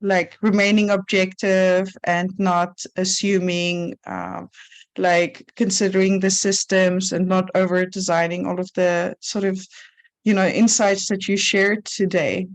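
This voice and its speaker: A woman's voice: mid-range voice, flat delivery, unhurried. A woman moderating a panel, asking probing, rambling questions full of fillers. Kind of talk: panel discussion.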